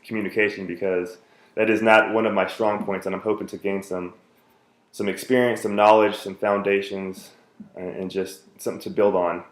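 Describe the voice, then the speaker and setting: A man's voice: lowish voice, flat delivery, normal speed, reverberant. A man in his thirties, from the United States, giving an earnest self-introduction speech for a class, with many fillers and restarts.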